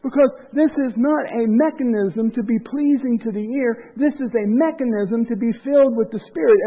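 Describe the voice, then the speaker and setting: A man preaching a sermon, impassioned, rising to loud emphasis and dropping low and quiet. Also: gravelly. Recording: tinny phone-like lo-fi sound.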